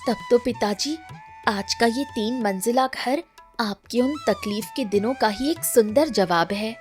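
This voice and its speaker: A woman playing a gentle, affectionate village family woman in a Hindi cartoon story, tender and appreciative. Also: fairly high pitch, measured pace, faint music behind, lightly acted.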